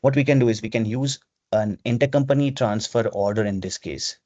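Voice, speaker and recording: A man presenting a webinar, explaining software step by step in an instructional way over a video call. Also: medium pitch, brisk, no room sound. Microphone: video call microphone. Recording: dull, lo-fi video call audio.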